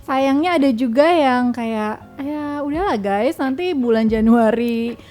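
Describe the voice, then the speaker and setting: A woman's voice: fairly high pitch, measured pace, a little mellow. A woman with an Indonesian accent chatting casually and informally as a guest, dropping in English words. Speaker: guest sharing experiences and tips.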